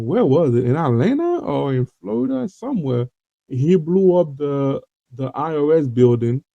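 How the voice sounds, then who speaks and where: A man's voice: medium pitch, mellow, dry recording. A man from Haiti with a Haitian accent, talking earnestly to his viewers in an informal monologue, full of fillers and repetitions. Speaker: host of an online talk show. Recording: dull lo-fi dry recording.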